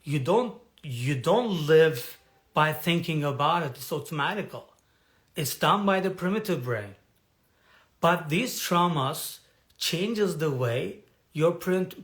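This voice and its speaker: A man coaching an online audience in personal development, talking in a halting way with frequent restarts as he searches for words. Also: a little high, a bit bright. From has lived in Turkey for decades. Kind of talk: live talk to an online audience.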